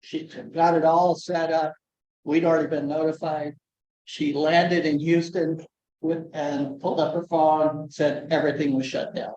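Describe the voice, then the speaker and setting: A soft-spoken man, a conservation educator, giving a chatty presentation with asides and fillers. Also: fairly high pitch, level tone, measured pace, echoey room, highs cut off. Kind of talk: conference presentation.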